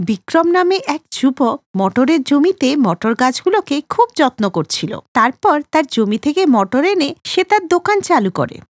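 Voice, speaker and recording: A woman narrating a children's cartoon story in a steady storytelling manner. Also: high voice, moderate pace, no room sound, studio quality.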